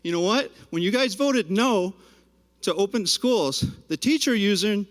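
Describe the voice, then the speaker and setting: Parent at the podium: man with a high voice, combative and pointed, echoing meeting hall. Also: expressive, a little slow, a bit bright. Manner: confrontational, addressing board members directly. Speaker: parent giving public comment. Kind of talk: public comment at a school board meeting. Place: school board meeting room. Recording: reverberant hall.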